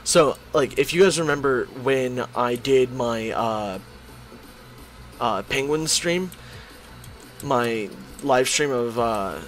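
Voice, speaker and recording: Hoarse man rambling while he draws. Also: medium pitch, slow, faint music behind. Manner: rambling, thinks aloud. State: hoarse, strained from two hours of talking. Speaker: YouTuber drawing on stream. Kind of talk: casual commentary while drawing.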